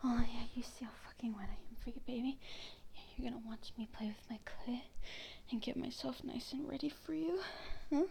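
A young woman speaking in a breathy whisper, with a menacing, obsessive way of talking, in an intimate audio roleplay. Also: on the high side, a little fast, warm tone, a little hiss or hum.

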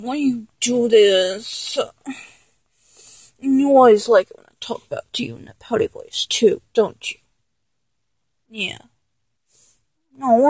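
High-pitched, exasperated girlfriend, acted by a woman. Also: vocal fry, husky, no echo, full character voice, poor audio. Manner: exasperated, teasing, whiny, with sighs and giggles. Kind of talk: intimate adult audio roleplay, one-sided dialogue.

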